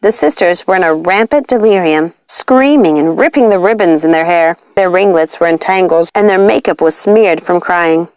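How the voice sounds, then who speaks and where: A woman reading a story aloud as scripted narration, in an expressive storytelling style. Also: lowish voice, normal speed, hardly any pauses, smooth, dry recording, low-quality recording. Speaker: storybook reader.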